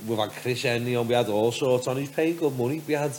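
A man from Liverpool with a softened Scouse accent and a deep voice, telling stories casually as a podcast guest. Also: fast, a little hiss or hum. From Liverpool, England. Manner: rambling storyteller, frequent "do you know what I mean".

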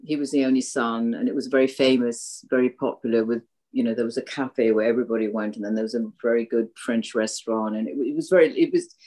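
A woman's voice: a bass voice, darkish tone. An older woman born in Hungary, with an accent of a Hungarian long settled in England, recalling her past in a rambling interview with many restarts. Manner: rambling, frequent restarts and fillers. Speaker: guest. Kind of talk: interview conversation.

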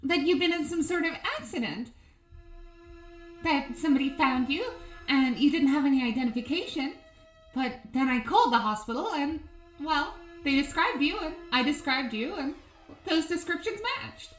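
An actress playing a sweet, evasive film noir wife in an audio play, coy and soothing. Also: female voice, high voice, lively intonation, moderate pace, soft background music. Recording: roomy, echoing sound.

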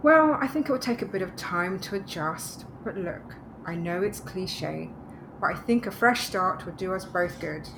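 A woman's voice: lowish voice, lively intonation, moderate pace. A woman playing a practical, dryly teasing wife in a naturalistic audio drama. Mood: reassuring. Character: practical, teasing, reassuring wife moving to the countryside. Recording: clearly noisy background ambience.

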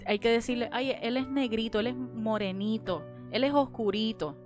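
A woman's voice: fairly high pitch, moderate pace, soft background music. A woman with a Puerto Rican Spanish accent, raised in Miami, talking animatedly in a casual church discussion and dropping in English words. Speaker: panelist.

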